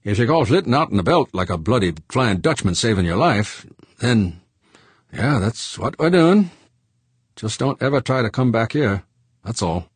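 A man voicing a gruff, worried male colony leader who speaks bluntly and curses. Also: mid-range voice, sing-song, normal speed, dry recording, voice pushed up. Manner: blunt, curt, swears.

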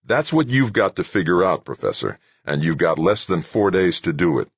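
A man's voice: sits low, big pitch swings, moderate pace, slightly rough, no echo, heavily acted. A man voicing a determined young leader of a besieged moon base in an audiobook, firm and urgent. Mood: urgent, commanding.

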